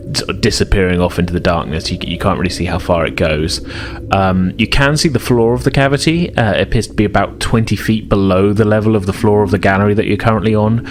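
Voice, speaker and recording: A man's voice: sits low, noisy background. A man narrating a tabletop fantasy game as game master, conversational and hesitant, rephrasing his descriptions as he goes.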